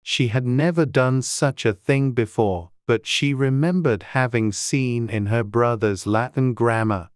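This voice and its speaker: Very low-pitched woman narrating a storybook. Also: a little slow, few pauses, dry recording, studio quality. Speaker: audiobook narrator.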